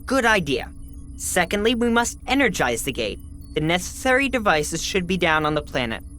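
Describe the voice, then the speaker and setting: A woman playing an eager, brisk science advisor in a video game, explaining matters in a matter-of-fact way. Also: on the low side, very animated, a bit bright, faint music behind. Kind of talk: video game cutscene acting.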